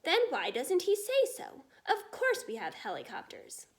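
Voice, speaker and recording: A proud storybook air marshal acted out by a woman reader, grudging and quick to take offence. The delivery is high and swings sharply in pitch. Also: wildly swooping pitch, whispered, heavily acted. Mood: indignant, proud. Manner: huffy and impatient. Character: proud, grudging, easily offended male air force commander.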